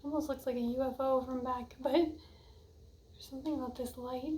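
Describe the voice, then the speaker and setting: A young woman with a soft voice giving a casual oracle card reading, musing and drifting off mid-thought. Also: high voice, steady tone, normal speed, slight background noise. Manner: dreamy, musing, often trails off. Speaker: card reader.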